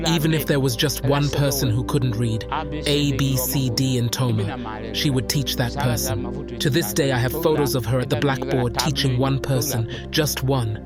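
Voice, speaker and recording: A man giving a measured voice-over narration of a pastor's testimony. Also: medium pitch.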